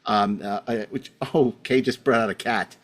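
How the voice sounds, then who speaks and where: A man's voice: lowish voice, steady tone, moderate pace. A middle-aged man hosting a podcast, introducing things casually in a rambling, wry, self-deprecating way. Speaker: podcast host.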